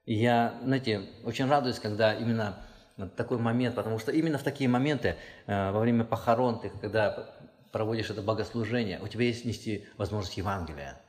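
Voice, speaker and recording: A man preaching a sermon as pastor, speaking earnestly and pressing his points with rising emphasis. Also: fairly quick. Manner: earnest, emphatic, rises in pitch and force when stressing a point. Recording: long hall reverb.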